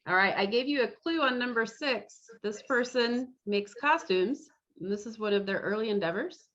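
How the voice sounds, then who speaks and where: A woman's voice: a little high, normal speed, a bit bright. A woman casually hosting a quiz game over a video call. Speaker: quiz host at an online fan club meeting. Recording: dull, lo-fi video call audio.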